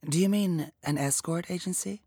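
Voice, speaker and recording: A woman's voice: on the low side, normal speed, dark tone, slightly rough, no echo, clean studio sound, voice pushed up. A woman voicing a persistent, tactful female federal agent in an audiobook, with a probing and controlled manner. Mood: professional, probing.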